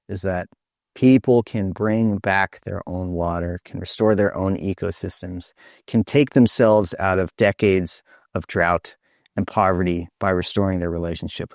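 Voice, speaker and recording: Low-pitched man presenting, dry sound. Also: darkish tone, dry recording. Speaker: presenter hosting an online talk. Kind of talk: informal webinar presentation. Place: video call.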